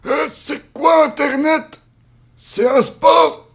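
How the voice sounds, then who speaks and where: A man voicing a clueless university official in a slow, baffled manner for a comic story. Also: very high voice, steady tone, fairly bright tone, faint music behind, voice pushed up, full character voice.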